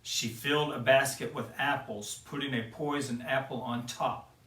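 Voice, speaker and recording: A man reading a children's picture book aloud in a gentle storytelling way. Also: mid-range voice, fairly even intonation. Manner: slow, gentle read-aloud. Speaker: storyteller for kids. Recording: roomy, mic at a distance.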